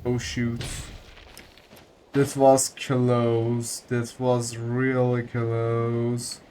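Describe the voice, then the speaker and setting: A man talks through a game at a very slow, halting pace, thinking aloud as he goes. His pitch sits in an ordinary middle range, and faint noise runs behind the casual commentary. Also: monotone, warm tone. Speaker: gaming streamer.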